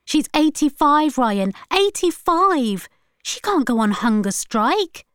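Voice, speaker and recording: A woman's voice: on the high side, big pitch swings, unhurried, darkish tone, no echo, studio quality, pitched above the performer's own voice. A woman voicing a tired, exasperated young granddaughter who protests in a plain-spoken, indignant way. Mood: angry.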